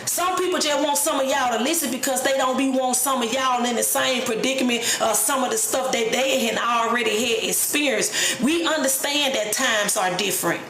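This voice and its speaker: Blunt, high-voiced woman in her thirties. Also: moderate pace, hardly any pauses, a bit bright, echoey room. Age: 30s. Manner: blunt, streetwise, preachy, profane. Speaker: vlogger. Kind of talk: unscripted personal rant.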